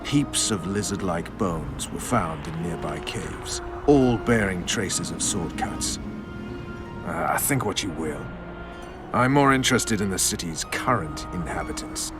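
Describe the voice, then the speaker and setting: A gruff, foul-mouthed game soldier. His mid-range voice swings widely as he argues with blunt scorn, over clearly audible music. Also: low-pitched, animated, a little slow. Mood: argumentative. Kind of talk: video game voice acting. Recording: music behind the voice.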